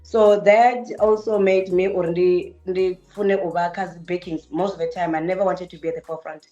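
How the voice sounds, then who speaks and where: A woman with a Venda accent and a deep voice, a musician recalling her village childhood as a guest on a radio show. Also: fairly quick, lo-fi sound. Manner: relaxed, reflective, mixes English and Venda. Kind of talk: casual radio interview. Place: radio studio.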